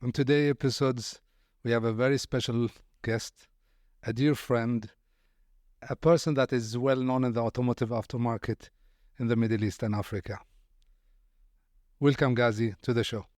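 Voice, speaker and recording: A man's voice: mid-range voice, steady tone, moderate pace, pauses often, darkish tone, no room sound, clean studio sound. A man hosting a podcast interview, courteous, often repeating words as he searches for phrasing. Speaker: podcast host.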